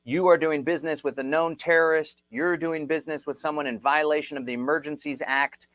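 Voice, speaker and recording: Energetic man hosting a crypto livestream. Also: fairly high pitch, brisk, dry recording. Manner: energetic, repetitive, rallying. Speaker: host. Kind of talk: casual livestream explainer. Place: Texas.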